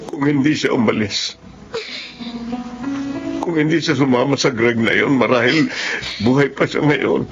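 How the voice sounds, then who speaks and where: A man's voice: medium pitch, unhurried, long pauses, natural voice. A man plays a tender, wounded Filipino father in a radio drama, earnest and sorrowful. His voice is muffled and lo-fi, with prominent music playing behind it. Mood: grieving. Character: heartbroken, devoted working father and betrayed husband. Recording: dull lo-fi audio under loud music.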